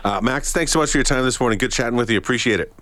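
A man hosting a radio show, asking questions in a relaxed conversational way. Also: mid-range voice, very fast, no echo. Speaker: radio host. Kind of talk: radio interview.

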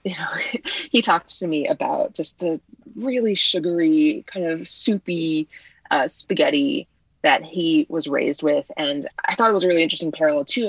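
A woman with a low, gravelly, husky voice, whose first language is Vietnamese, chatting loosely and filling her thoughts with "like" and "you know." The sound is dull and lo-fi, close and dry with no room around it. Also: no echo. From United States. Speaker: guest writer. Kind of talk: casual conversation.

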